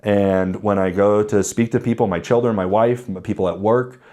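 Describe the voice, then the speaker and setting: The low, raspy man in his thirties across the table in an interview, talking frankly through lessons from his own life. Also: fairly even intonation, moderate pace, mellow. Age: 30s. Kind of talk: reflective conversational interview answers with anecdotes.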